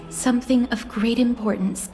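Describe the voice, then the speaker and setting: An actress playing a soft-spoken, solemn young woman in a video game, talking politely and in a subdued, earnest way. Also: fairly high pitch, fairly even intonation, normal speed, soft background music, close to an everyday voice. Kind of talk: scripted video game dialogue.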